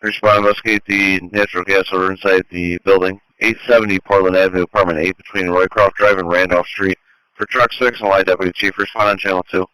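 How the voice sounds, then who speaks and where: A man dispatches fire crews over the radio in a very low, flat voice. His delivery is fast and procedural, and the sound is dry. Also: clean tone, no echo, poor audio. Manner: procedural, repeats addresses and units. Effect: two-way radio. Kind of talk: formal radio dispatch announcements. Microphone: dispatch radio microphone.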